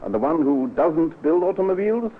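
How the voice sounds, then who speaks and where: An actor playing a shrewd, cautious police inspector in an old radio drama, dry and politely probing, on a muffled, tinny recording. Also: male voice, a little high, lively intonation, a little fast, slight background noise, lightly acted. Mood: methodical. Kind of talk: old-time radio acting.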